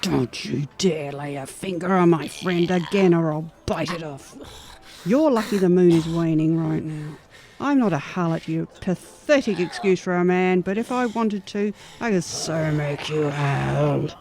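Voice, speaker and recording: Bold, mellow-voiced actress as a Wild West werewolf woman. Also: sits low, measured pace. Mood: menacing. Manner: confident, protective, wry. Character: bold, fierce young werewolf woman prospecting in the Old West. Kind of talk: audio horror drama acting.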